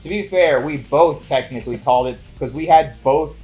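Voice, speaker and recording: A man's voice: fairly high pitch, normal speed, mellow, poor audio. Enthusiastic man in casual movie chat, music behind. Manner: enthusiastic, profane fan talk. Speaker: podcast co-host.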